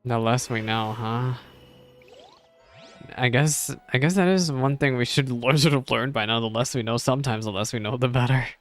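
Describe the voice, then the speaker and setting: A man's voice: medium pitch, normal speed, faint music behind. A man streaming a game, reacting casually, musing to himself and reading text aloud, often repeating phrases.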